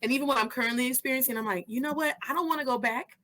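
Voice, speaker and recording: A woman's voice: high voice, rapid-fire, bright, forceful tone. A woman in her thirties hosting a podcast interview, talking animatedly and mixing Spanish phrases into her English. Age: 30s. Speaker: podcast host. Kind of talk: casual interview chat.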